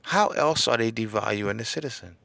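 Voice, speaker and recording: A middle-aged man with a smooth voice hosting a political podcast, talking straight to his listeners and building into an emphatic, preacher-like cadence. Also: fairly low pitch, brisk, fairly bright tone, dry recording. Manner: addresses listeners directly, seeks call-and-response. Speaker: podcast host. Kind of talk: casual political podcast monologue.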